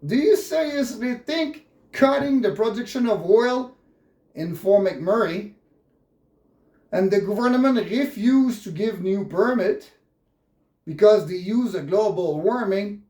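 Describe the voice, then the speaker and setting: A man's voice: high-pitched, lively intonation, dark tone. Agitated French Canadian man, echoey room. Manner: agitated, profane, halting English with repetitions. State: upset. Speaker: vlogger. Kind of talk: unscripted political rant.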